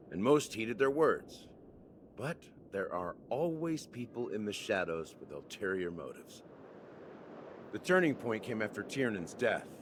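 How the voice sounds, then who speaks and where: A grave, lively-toned schemer. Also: male voice, lowish voice, background noise. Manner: measured, solemn explaining. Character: grave schemer revealing a dreamland's dark secret. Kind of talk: video game cutscene dialogue. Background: game music.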